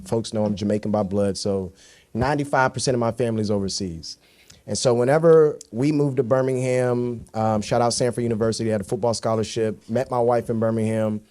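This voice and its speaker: A man's voice: medium pitch, steady tone, fairly quick, dark tone. A man in his thirties from Florida, moderating a panel in a conversational way with meandering, anecdotal lead-ins. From Florida, United States.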